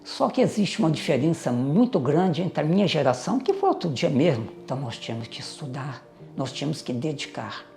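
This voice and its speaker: An older man tells his family's story with a gravelly edge to his voice. He speaks in a measured, reflective way, pausing and hesitating as he remembers. Faint music plays behind him. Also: on the high side, big pitch swings, a little mellow. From Minas Gerais, Brazil. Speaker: interviewee recounting family history. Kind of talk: reminiscing oral history.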